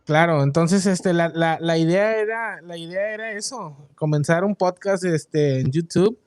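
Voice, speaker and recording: A man hosting an online radio show and chatting in a relaxed, slangy, joking way. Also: on the high side, unhurried, clean tone, no room sound. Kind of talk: casual online radio interview.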